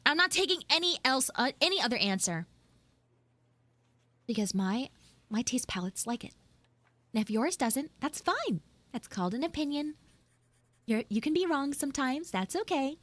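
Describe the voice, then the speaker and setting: A woman's voice: sits high, animated, normal speed, pauses often, fairly bright tone, dry recording. A woman voicing a flustered, shyly smitten tsundere schoolgirl in a live audio roleplay, hesitant and coyly defensive. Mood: flustered, chatty.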